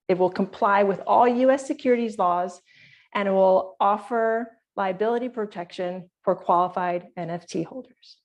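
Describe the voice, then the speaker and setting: A woman's voice: mid-range voice, darkish tone. A woman from Hawaii presenting her project to an online community meeting, speaking earnestly and directly to her listeners.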